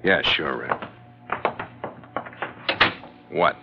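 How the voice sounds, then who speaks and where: Gruff hard-boiled skipper over loud music. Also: male voice, very deep voice, extremely slow, full character voice. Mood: guarded, terse. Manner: terse, gruff, commanding. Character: big, tough, hard-boiled male ship's skipper, narrating his adventures. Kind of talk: 1940s-50s radio adventure acting, clipped first-person narration. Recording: dull old radio recording, loud music behind.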